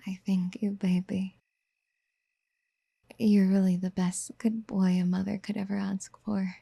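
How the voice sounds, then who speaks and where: A woman playing a doting, obsessive would-be mother in a soft-spoken ASMR roleplay, breathy and often near a whisper, tenderly coaxing. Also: mid-range voice, no echo, heavily acted. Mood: tender, adoring. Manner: tender, coaxing, hesitant pet-name murmuring. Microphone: close microphone in a dry, quiet space.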